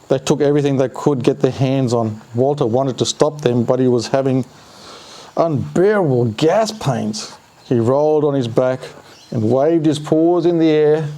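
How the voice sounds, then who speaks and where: A man's voice: mid-range voice, a little slow, dark tone. A man reading a children's story aloud expressively, with playful, chatty asides to a pet. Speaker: storyteller reading a picture book to a dog.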